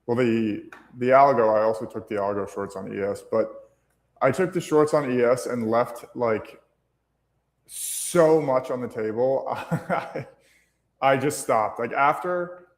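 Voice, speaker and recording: A man's voice: mid-range voice, measured pace. Echoey man chatting on a trading stream. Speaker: livestream co-host, futures trader. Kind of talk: casual chat and trade commentary.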